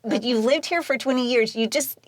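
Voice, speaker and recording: A woman's voice: fairly high pitch, a little fast. A woman hosting a casual podcast interview, asking questions and reacting in short admiring phrases.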